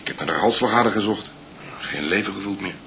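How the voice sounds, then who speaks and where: A man's voice: on the low side, fast talker, creaky, sharp tone, a little hiss or hum. A man playing a courteous, inquisitive writer on holiday in a naturalistic radio drama. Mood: calm, hesitant.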